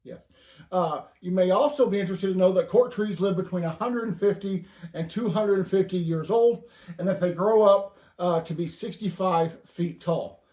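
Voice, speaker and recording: Guy hosting a stamp show, kinda high voice, dry deadpan jokes, echoey room. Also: moderate pace. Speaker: host. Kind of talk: casual stamp-collecting chat.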